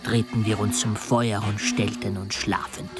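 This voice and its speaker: An actor playing an earnest travel companion who recounts a jungle expedition in measured, literary radio play narration. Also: male voice, on the low side, flat delivery, over loud music, close to an everyday voice. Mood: sombre.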